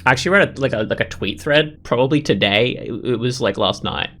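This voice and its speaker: A man's voice: medium pitch, fast talker, a bit bright, soft background music. A man hosting a gaming video, giving casual commentary and reading game text aloud in a reflective way.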